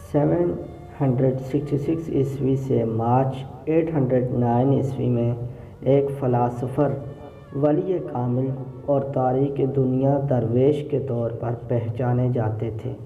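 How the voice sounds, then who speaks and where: Male narrator for religious stories: unhurried, formal delivery, roomy echo on the recording. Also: mid-range voice. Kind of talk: scripted religious story narration. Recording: roomy echo, phone-like narrow sound.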